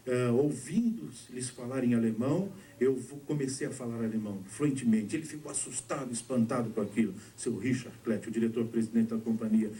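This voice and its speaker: A man's voice: warm tone, a little hiss or hum. A Brazilian man preaching, telling his testimony to a congregation and voicing the people in his story. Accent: Brazilian Portuguese. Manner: storytelling, acting out dialogue with shifts of pitch. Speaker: pastor. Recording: distant mic with faint ambience.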